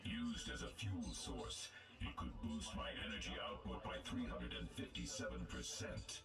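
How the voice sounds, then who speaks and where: A man's voice: a bass voice, lively intonation, normal speed, recorded from a distance, over loud music. A man voicing an arrogant, coldly calculating robot villain in a video game, formal and condescending, with a processed metallic effect.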